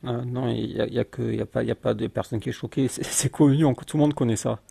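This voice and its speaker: A man's voice: medium pitch, fairly even intonation, quick pace, vocal fry, mellow, breathy edge, no echo. A man commenting on a video he plays, dismissive and mocking, often cutting in over the clip. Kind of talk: casual reaction commentary.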